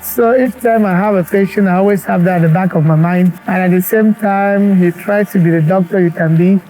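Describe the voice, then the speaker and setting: A man in his forties from Cameroon, a doctor and cancer researcher, speaking earnestly and reflectively in a documentary interview, pausing as he thinks. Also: high-pitched, steady tone, mellow, faint music behind, low-quality recording. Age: 40s. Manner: earnest and reflective, with thoughtful pauses.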